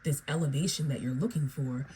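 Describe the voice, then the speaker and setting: Low, mellow-voiced woman reading tarot. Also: a little fast, slight background noise. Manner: meandering, repeats "okay?" and "right?". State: under the weather, raspy. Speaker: tarot reader. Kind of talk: solo tarot reading to viewers.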